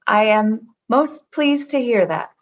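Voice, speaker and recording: An actress playing an earnest, kindly android lieutenant in a comedy radio play, formal and precise. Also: female voice, on the high side, lively intonation, slow pace, highs cut off. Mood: warm sincerity. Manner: formal, precise, avoids contractions. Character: earnest, literal-minded, kindly female android junior lieutenant.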